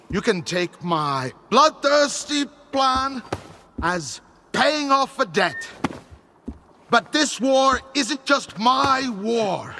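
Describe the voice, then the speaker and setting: Brooding fanatical faction leader, gravelly, wildly swooping pitch, theatrical pauses, video game drama. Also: male voice, pushed and bright, exaggerated voice. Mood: bitter, intense.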